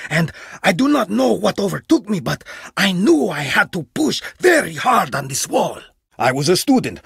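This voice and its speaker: A man's voice: fairly high pitch, creaky, fairly bright tone, slightly rough, dry recording, clean studio sound, full character voice. An actor playing a stern, scornful male archaeologist in a video game, harsh and commanding as he scolds his student. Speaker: archaeologist mentor.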